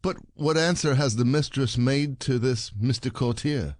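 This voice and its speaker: A man's voice: mid-range voice, normal speed, darkish tone, no room sound, clean studio sound, close to an everyday voice. A man playing a suspicious, bitter husband in a classic stage comedy, theatrical and probing. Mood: feigned calm.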